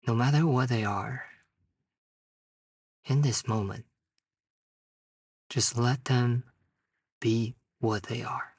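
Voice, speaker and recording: A man leading a guided meditation, speaking in short, unhurried phrases with long pauses. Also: medium pitch, husky, studio quality. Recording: distant mic in a roomy, echoing space.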